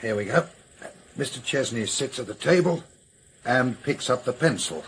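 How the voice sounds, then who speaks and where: A husky British man is a sober police detective in a radio drama. He questions briskly and sceptically, his pitch moving freely as he probes. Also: expressive, fairly bright tone, heavily acted. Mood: brisk, matter-of-fact. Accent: British English. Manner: brisk, questioning, matter-of-fact.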